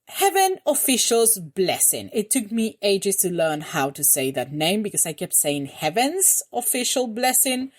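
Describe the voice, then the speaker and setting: A young woman from Argentina, a congested voice, chatting and rambling to her channel from home. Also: lowish voice. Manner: rambling, self-correcting, chatty. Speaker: reaction channel host. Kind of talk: casual chat to camera.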